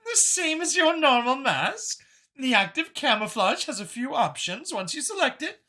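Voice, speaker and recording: A narrator voicing a brisk, matter-of-fact female alien researcher who gives precise, formal instructions in an audiobook story. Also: high voice, very animated, pushed and bright, pitched above the performer's own voice, full character voice. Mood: explaining.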